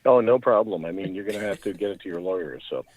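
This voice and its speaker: A man's voice: low voice, fast talker, a little mellow, no room sound. An older man, a wry radio sports commentator calling in by phone as a guest. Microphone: phone call-in. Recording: muffled narrow phone line.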